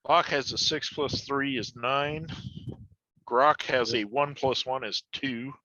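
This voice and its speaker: A man with a sharp, forceful voice at a middle pitch talks as a player in a tabletop game. He banters in a wry, colloquial way. The recording is a little dull and muffled. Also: takes it slowly, no echo.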